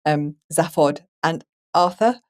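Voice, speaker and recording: A woman's voice: low voice, takes it slowly, darkish tone. A woman chatting informally on a podcast, phrasing her thoughts loosely and conversationally. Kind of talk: casual discussion.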